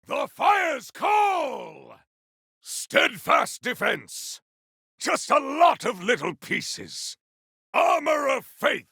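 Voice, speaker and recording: An actor voicing a fierce, honorable cyborg warrior in a video game, speaking in a theatrical, grandiose, gravelly way. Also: male voice, extremely high pitch, huge pitch swings, extremely slow, sharp tone, dry recording, raised voice, studio quality, exaggerated voice. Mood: battle fury. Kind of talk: video game combat barks.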